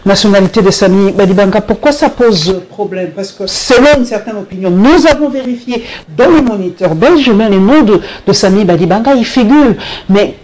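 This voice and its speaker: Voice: medium pitch, normal speed, a little mellow, rough recording. Probing TV interviewer. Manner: probing, pressing questions.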